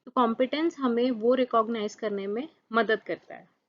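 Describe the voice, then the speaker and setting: A woman whose first language is Hindi, teaching a lesson for exam students, reading English definitions slowly and explaining them with rhetorical questions. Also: fairly high pitch. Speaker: channel host and teacher. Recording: dull lo-fi recording.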